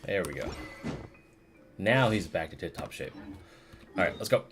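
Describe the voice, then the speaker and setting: A man's voice: sits low, lively intonation, moderate pace, music in the background. A man giving casual live playthrough commentary, rambling and hesitant, with plenty of slang. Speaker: game streamer.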